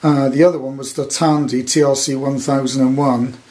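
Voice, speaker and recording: A man's voice: medium pitch, steady tone, moderate pace, darkish tone. A British man in his sixties giving a casual, rambling, unscripted show-and-tell as a hobby collector. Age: 60s. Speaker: radio collector presenting his item.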